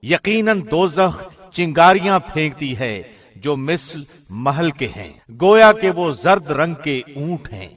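A man reads translated scripture from a script. His delivery is formal, measured and solemn, in the manner of a radio presenter. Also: a little high, sing-song, a bit bright. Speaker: radio host reading Quran translation.

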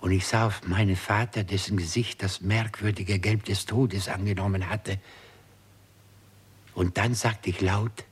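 An actor playing a brooding, obsessed doctor in a 1960s radio crime drama, with a husky voice and a solemn, deliberate delivery. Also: male voice, on the low side, fairly even intonation. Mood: grave, haunted. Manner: slow, deliberate, solemn storytelling. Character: brooding, obsessive male doctor experimenting on raising the dead.

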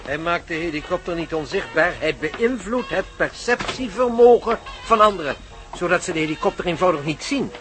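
An actor in a radio play playing an older, excitable male professor who stammers and fusses. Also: high-pitched, moderate pace, soft background music. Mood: reassuring.

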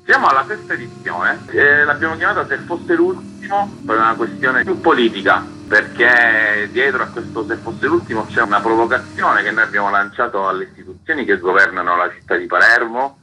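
A man's voice: on the low side, a little fast, hardly any pauses, pushed and bright, soft background music, poor audio. A man giving earnest, articulate, explanatory answers as a guest in a radio interview. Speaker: artistic director of a theatre festival, interview guest.

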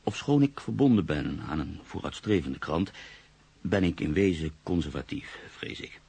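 An actor playing a reflective, hesitant journalist and writer in his mid-thirties in a radio drama, speaking in a measured, literary way. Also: deep voice, very animated, vocal fry, a little mellow, husky, no room sound, heavily acted. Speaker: actor narrating as the lead character. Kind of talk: radio drama monologue and dialogue.

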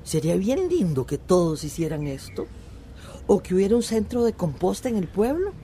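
A woman's voice: mid-range voice, sing-song, moderate pace, mellow, slight background noise. An actress playing a kindly, teasing old grandmother in a radio drama. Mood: warm, teasing.

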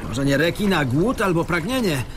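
A sarcastic excitable castaway in a radio play, voice swings all over, water splashing behind him. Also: male voice, on the high side, moderate pace. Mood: practical, animated. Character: sardonic, excitable male castaway bobbing at sea. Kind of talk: radio drama acting. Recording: clear water sloshing noise behind. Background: lapping, trickling water.

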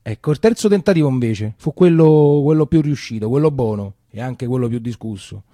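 A colloquial Roman man played by a male actor recalls his boyhood in a theatrical monologue. His voice is dark and mellow, closely and dryly recorded, and he often doubles back on his words. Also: mid-range voice, expressive, dry recording, clean studio sound, lightly acted. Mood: reminiscing. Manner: colloquial, halting, with repetitions. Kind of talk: theatrical first-person storytelling.

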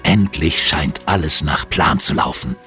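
A man narrating a children's audio drama in an expressive, suspenseful storytelling style. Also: extremely low pitch, unhurried, soft background music.

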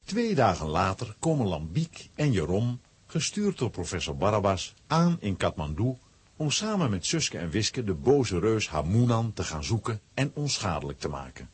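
A man narrating a children's adventure audio play as an expressive storyteller, with a rough, husky voice. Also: on the low side, normal speed, heavily acted. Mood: storytelling.

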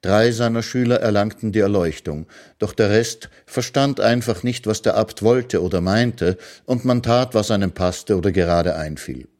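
A man reading his own philosophical short prose aloud in a calm, measured way, as a radio literary reading. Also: on the low side.

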